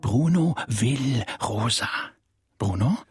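An actor playing a deadpan, deliberate storyteller of absurd, grotesque tales in a radio play. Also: male voice, mid-range voice, breathy edge, dry recording, clean studio sound, faint music behind, exaggerated voice. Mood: hushed, terse. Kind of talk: literary radio play narration.

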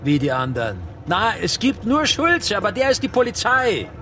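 An actor playing an agitated, self-pitying middle-aged teacher in a radio drama, emphatic, impatient and sardonic. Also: male voice, sits high, big pitch swings, normal speed, bright, forceful tone, full character voice. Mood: tense, irritable. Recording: street-like traffic noise behind the call.

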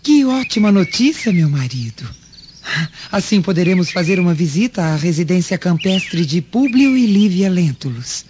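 An actress playing a gentle, conciliatory Roman governor's wife in a radio drama, formal and soothing. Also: female voice, on the low side, big pitch swings, moderate pace. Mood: pleased. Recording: muffled lo-fi recording with faint noise.

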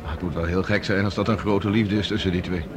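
A man's voice: sits low, steady tone, fast talker, background noise, natural voice. An actor playing a serious, reserved male colleague in radio play dialogue. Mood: dry, reserved. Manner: sober, reserved.

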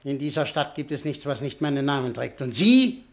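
A man's voice: mid-range voice, lively intonation, fairly quick, natural voice. An actor playing a blunt, arrogant rich male industrialist who talks curtly and means business, in an old German radio play. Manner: curt, businesslike. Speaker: wealthy industrialist.

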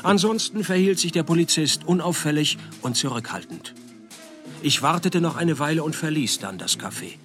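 A man plays a laconic, sardonic private eye in a radio drama. His voice sits a little high for a man, the delivery is deadpan and wry, and soft music runs behind it. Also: normal speed, lightly acted. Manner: deadpan, dry wit. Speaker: narrator and lead. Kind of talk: radio play narration and dialogue.

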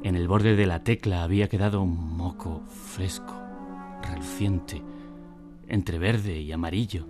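A man's voice: low-pitched, steady tone, a little slow, over music. An actor playing a man who recounts his boyhood in wry, literary radio drama narration. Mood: wry, horrified. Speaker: first-person narrator.

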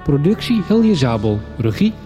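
A man reading scripted radio credits as an announcer, measured and formal. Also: on the high side, very animated, dark tone. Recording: music under the voice. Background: music.

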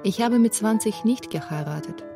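A woman's voice: mid-range voice, animated, moderate pace, creaky, music in the background. A woman in her thirties giving reflective scripted narration for a radio feature. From former Soviet Union. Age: 30s. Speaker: author and narrator.

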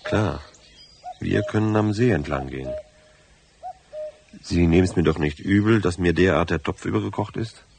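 An actor playing a gruff, troubled rural husband in a radio drama, plain-spoken and husky. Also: male voice, sits low, normal speed, pauses often, background noise. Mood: gruff, subdued.

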